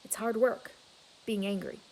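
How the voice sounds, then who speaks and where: Slow, dramatic woman reading a story aloud. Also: mid-range voice, fairly even intonation, poor audio. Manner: dramatic, with emphatic exclamations and hushed asides. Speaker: reader of a children's novel. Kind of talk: expressive read-aloud storytelling.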